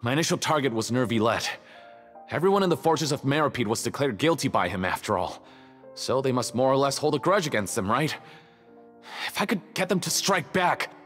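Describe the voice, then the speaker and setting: A man's voice: mid-range voice, lively intonation, a little fast, a bit bright, close to an everyday voice. A man voicing a jittery, resentful smuggler prisoner in a video game, defensive and self-justifying. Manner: stammering, defensive, indignant. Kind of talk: scripted video game dialogue. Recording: long hall reverb, music behind.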